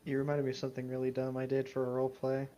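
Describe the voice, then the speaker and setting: A man's voice: mid-range voice, completely flat delivery, rapid-fire, dark tone. A man with a mid-range voice co-hosting a casual, conversational commentary show. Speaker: podcast co-host.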